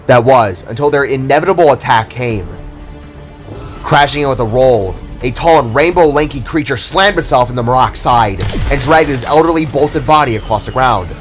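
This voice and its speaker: A man narrates a scripted story with dramatic delivery. His voice sits at a middle pitch, with music playing behind it. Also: normal speed.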